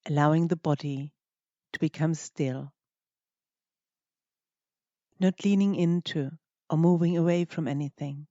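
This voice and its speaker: Mellow, unhurried meditation guide. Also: mid-range voice, fairly even intonation, no echo, lo-fi sound. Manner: gentle, unhurried, phrases broken by pauses. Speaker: meditation teacher.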